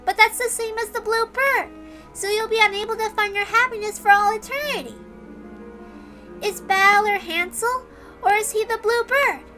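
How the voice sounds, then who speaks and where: Very high, serene little girl. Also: soft background music, poor audio. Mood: serene, preachy. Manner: measured, reasoning, gently lecturing. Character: serene nine-year-old girl, earnest, gently preachy, unshakably optimistic. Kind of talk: dramatic reading of a visual novel.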